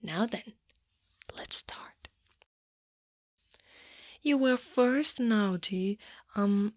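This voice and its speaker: An Italian woman playing a tall, teasing Krampus monster woman in a soft, breathy ASMR roleplay, often dropping into a whisper. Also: medium pitch, no room sound, clean studio sound, exaggerated voice. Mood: probing, stern. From Italy. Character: eight-foot horned furry Krampus woman, teasing, coaxing, in control.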